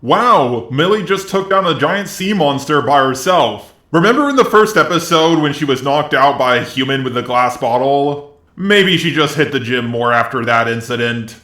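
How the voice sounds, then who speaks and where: Bright, echoey synthetic man reviewing. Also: medium pitch, moderate pace. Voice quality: synthetic robot voice. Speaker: video reviewer. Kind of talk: scripted review narration with joking asides.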